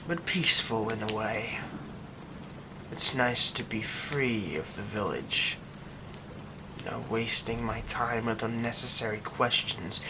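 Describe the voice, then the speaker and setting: A man voicing a secretive young man who hides a werewolf curse, in an ASMR roleplay drama. He speaks in a hushed voice that often drops to a whisper. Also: medium pitch, lightly acted. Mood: bitter. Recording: steady rain noise behind the voice.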